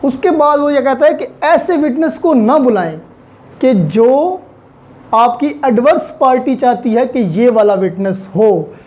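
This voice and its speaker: A man's voice: extremely high pitch, animated, a little slow, darkish tone. A man with a Pakistani accent giving an explanatory lecture as a law teacher, repeating and rephrasing points and mixing in English terms.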